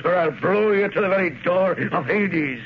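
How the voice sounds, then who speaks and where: Gruff sea captain, old muffled radio. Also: male voice, high-pitched, moderate pace. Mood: defiant. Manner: blunt, folksy rustic speech. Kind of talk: 1930s radio drama acting. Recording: muffled, noisy 1930s radio recording.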